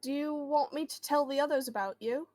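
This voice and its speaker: A woman playing a friendly but guarded boy who hears voices, halting and reassuring, in an improvised tabletop roleplay. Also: high voice, darkish tone, a little hiss or hum, lightly acted. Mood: awkward, friendly.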